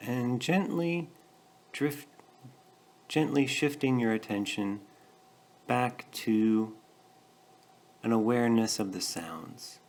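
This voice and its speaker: A man leading a guided meditation, speaking gently and in a measured way with pauses between short phrases. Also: medium pitch. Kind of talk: guided meditation, calm instruction.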